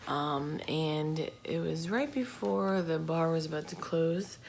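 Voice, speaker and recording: A woman telling a true crime story in a casual, informal, slangy and halting way. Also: sits low, darkish tone. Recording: clear background noise.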